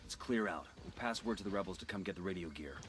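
An actor playing a terse, businesslike special forces team leader in a military video game. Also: male voice, low-pitched, quick pace, natural voice. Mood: businesslike, focused. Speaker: squad leader. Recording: game vehicle and ambience noise behind.

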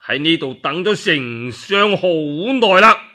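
A man's voice: fairly high pitch, lively intonation, slow pace, bright, forceful tone, exaggerated voice. A Cantonese-speaking man voicing an honourable, unbending warrior general in a storytelling radio play, formal and firm. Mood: stern, resolute.

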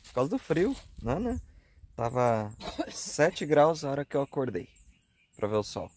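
A man in his thirties from southern Brazil, with a Rio Grande do Sul accent, chatting casually for his camping vlog. He talks in a relaxed way with frequent tag questions, recorded on a camera held at arm's length at an outdoor hilltop campsite. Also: medium pitch, a little slow, slight background noise. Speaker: travel vlogger. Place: outdoor campsite on a grassy hilltop, earlier inside a car at night.

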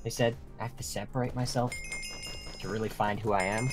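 A man playing an eager, naive teenage boy in an improvised game roleplay, chatty and animated. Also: fairly low pitch, a little fast, natural voice. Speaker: roleplay actor in a Minecraft series. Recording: loud music and chimes behind.

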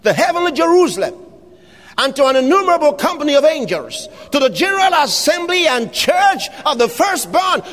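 A man preaching an impassioned sermon, shouting scripture with long drawn-out phrases. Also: very high-pitched, in a large hall.

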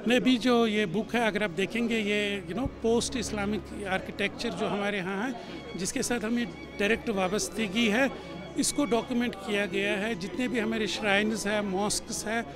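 A man's voice: high voice, fairly even intonation, moderate pace, music in the background. A middle-aged man with a Kashmiri accent giving a reflective news interview at a book launch, dropping English fillers into his speech. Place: hallway at a launch event, indoors. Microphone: handheld news mic close up.

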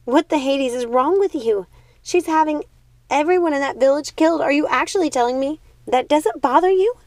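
A woman's voice: sits high, normal speed, a little mellow, no room sound, voice pushed up, natural voice. A woman voicing an outraged, rebellious young witch sister in a storybook, exclaiming emphatically.

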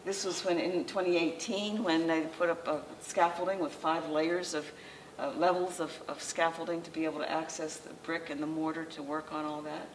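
An older woman giving an informal slideshow lecture in a library meeting room, talking in a conversational, halting way with frequent restarts. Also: low voice, little pitch movement. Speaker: local historical society president giving a lecture. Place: library meeting room, indoors, small audience. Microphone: far camera, masked speaker. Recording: distant camera mic with faint room noise.

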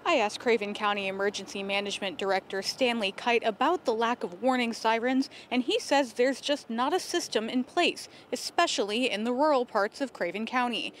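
A woman's voice: on the high side, normal speed, hardly any pauses, a little hiss or hum. A woman in her twenties reporting a scripted television news story into a handheld microphone. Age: 20s. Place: outdoors on a street by a building, live stand-up.

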